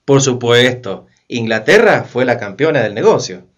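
A man's voice: mid-range voice, very animated, normal speed, dull audio. A man delivering scripted, theatrical narration for a radio drama.

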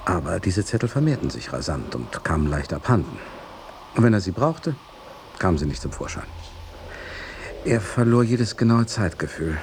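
An actor playing a sober, devoted companion of an aging master, speaking in a measured, precise manner in a literary radio drama. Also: male voice, sits low, big pitch swings, a little hiss or hum. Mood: sober, reflective.

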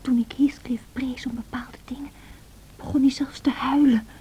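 A woman playing a wilful, self-centred young married woman in love in an old radio play, speaking in a breathy, impulsive, emotional way. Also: sits high, fairly even intonation, normal speed, dark tone, a little hiss or hum, natural voice, rough recording. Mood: indignant.

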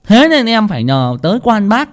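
A man voicing a young village lad who rants in a high, shrill, excited way. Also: moderate pace, vocal fry. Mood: indignant. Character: young indignant village lad, loud and ranting.

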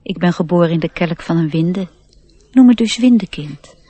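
A woman acting a proud, youthful male nature sprite, self-assured and gently instructive, in a radio play. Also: lowish voice, animated, moderate pace, husky.

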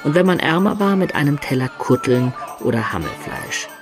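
A man's voice: mid-range voice, very animated, normal speed. An actor playing a medieval adventurer who recounts his student youth with wry, ornate storytelling in a literary radio drama. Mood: amused, recounting. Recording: studio radio drama with music beds.

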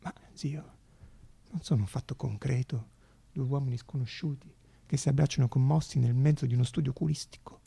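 An actor playing a small, idealistic man who pleads earnestly and haltingly in a hushed, theatrical radio monologue. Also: mid-range voice, fairly even intonation, creaky, slightly rough. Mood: hushed pleading. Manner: hushed, halting, insistent, with whispered phrases.

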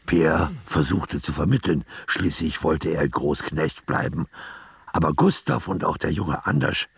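An actor as the storyteller of a rural radio drama, reading scripted narration in a gravelly voice. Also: male voice, very deep voice, unhurried, heavily acted. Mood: narrating.

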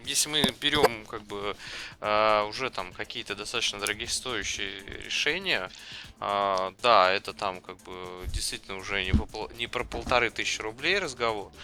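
A man's voice: on the low side, creaky, pushed and bright. A man in a casual tech debate, arguing his point in hesitant, broken-up phrases.

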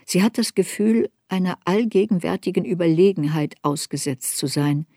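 An actress playing a reflective woman looking back on a troubled youth, narrating a radio drama in a measured, introspective way. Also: fairly low pitch, warm tone, dry recording, studio quality. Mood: sober, reflective. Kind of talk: literary radio drama narration, first-person memoir. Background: music.

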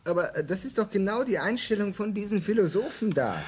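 Querulous, bewildered Earthman, high and lively. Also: fairly quick. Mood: indignant. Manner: stammering, repeating words in protest. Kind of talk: German radio comedy acting.

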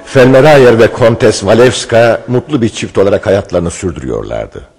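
A man narrating a radio theatre play in a measured, scripted storytelling style. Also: on the low side, expressive, darkish tone, slightly rough, soft background music.

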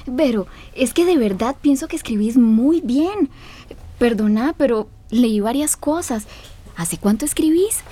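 Casting: loyal young Costa Rican girlfriend type, radio drama, high mellow voice, gushing and curious. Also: lively intonation, a little slow. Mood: admiring. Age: young adult. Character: warm, curious, admiring young woman, loyal friend.

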